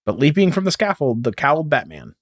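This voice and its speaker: A man's voice: fairly high pitch, huge pitch swings, a little fast, no room sound, studio quality. A man voicing a dramatic narrator of a pulpy superhero comic, read aloud melodramatically.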